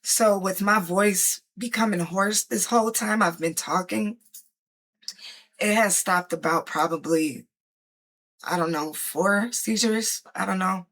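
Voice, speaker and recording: A woman talking casually about her life, rambling with many fillers and restarts, her voice going hoarse at times. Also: medium pitch, takes it slowly, fairly bright tone. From Chicago area, United States. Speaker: vlogger sharing her experience. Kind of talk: casual personal talk to camera.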